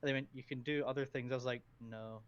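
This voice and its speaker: A man's voice: mid-range voice, fairly even intonation, quick pace, clear, resonant tone, muffled recording. A Scottish man chatting as a podcast guest, rambling through stories with lots of ums and giggles. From Scotland. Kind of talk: casual chat, anecdotes.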